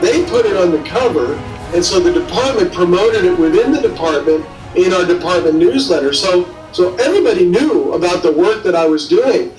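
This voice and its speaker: A man's voice: high voice, animated, a little fast, a little mellow, faint music behind. A male scientist recounting his own experience in an interview. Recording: echoey room, distant microphone.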